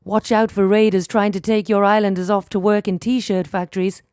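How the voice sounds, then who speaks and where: A woman reading scripted tutorial narration for a game, giving instructions in a clearly enunciated way. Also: medium pitch, little pitch movement, moderate pace, a little mellow, no room sound, clean studio sound.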